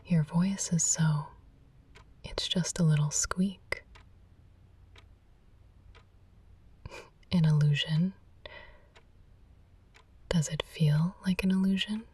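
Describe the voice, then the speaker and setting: A woman playing a possessive, quietly controlling therapist in an intimate ASMR roleplay, speaking softly and coaxingly. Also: fairly low pitch, steady tone, husky. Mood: tender, possessive. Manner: soft, unhurried, coaxing.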